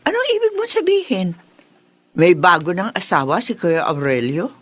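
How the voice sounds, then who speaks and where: An actress playing a chirpy, inquisitive aunt in a Filipino radio drama. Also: female voice, fairly low pitch, huge pitch swings, normal speed, dry recording. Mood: surprised, curious.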